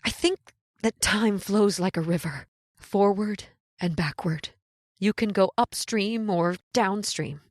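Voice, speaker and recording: A woman plays a doomed, fatalistic castaway in a vintage radio horror play. She speaks solemnly and portentously at a middling pitch, as one who bears dreadful truths. The sound is close and dry. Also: slow, no echo. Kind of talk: old-time radio horror acting.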